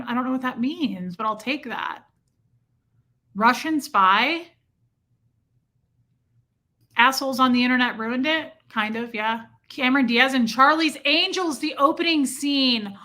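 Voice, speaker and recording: Woman livestream host, casual and chatty, slightly high bright voice. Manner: chatty, reacts to viewer comments. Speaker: livestream host reading chat. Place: home room with a heater.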